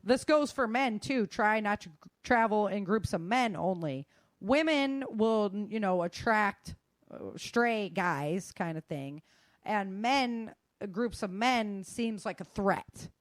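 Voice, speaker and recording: A woman's voice: medium pitch, lively intonation, takes it slowly, dry recording. A woman hosting a talk show, giving casual, emphatic advice straight to her listeners. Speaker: host of a self-defense and survival show.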